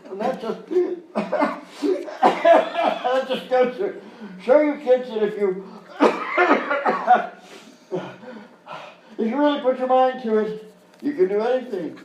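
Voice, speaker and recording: An actor playing a falsely cheerful, patronizing children's TV host in a live comedy parody. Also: male voice, extremely high pitch, big pitch swings, very slow, pauses often, full character voice. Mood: strained cheer. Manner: exaggerated jolly, patronizing. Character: forced-cheerful grown-up male children's TV show host. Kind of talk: parody of early local kids' TV, live sketch acting. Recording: roomy live stage, distant mic, faint noise.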